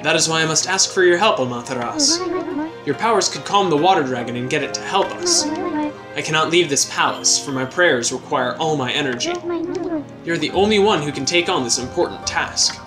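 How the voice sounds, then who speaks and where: A man voicing a gentle, formal queen who speaks earnestly and pleads. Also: a little high, big pitch swings, a little fast. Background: game music.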